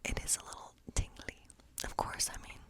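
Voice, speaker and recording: A woman playing a hospitable, eccentric woodland witch in an ASMR role play, speaking in a breathy whisper with a slow, coaxing, reassuring, rambling manner. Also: lightly acted. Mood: gently coaxing. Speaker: ASMR performer.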